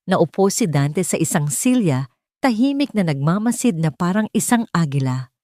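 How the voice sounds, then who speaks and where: Low-voiced woman narrating drama. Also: big pitch swings, normal speed, darkish tone, no echo, studio quality. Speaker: audiobook narrator. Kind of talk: scripted dramatic romance narration.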